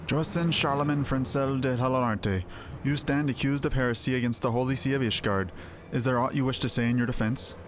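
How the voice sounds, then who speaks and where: A man's voice: medium pitch, steady tone, a little fast, noisy background, close to an everyday voice. A man voicing a stern, zealous inquisitor in a fantasy game, pompous and severe. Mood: severe, solemn. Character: stern, zealous male inquisitor presiding over heresy trial. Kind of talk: fantasy game dialogue read aloud. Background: game music.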